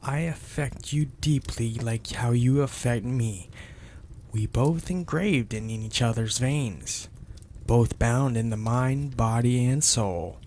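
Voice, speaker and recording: A man playing a possessive, domineering mafia boss in an intimate ASMR roleplay, speaking deliberately and with teasing menace in a gravelly, husky, breathy voice. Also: mid-range voice, darkish tone, slight background noise, natural voice. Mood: seductive, smug. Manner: slow, deliberate, menacing, florid wording.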